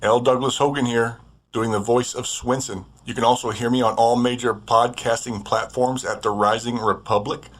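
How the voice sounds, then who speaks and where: Mid-pitched man plugging his podcast. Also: little pitch movement, moderate pace. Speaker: voice actor and podcaster giving a promo. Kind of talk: casual scripted podcast promo.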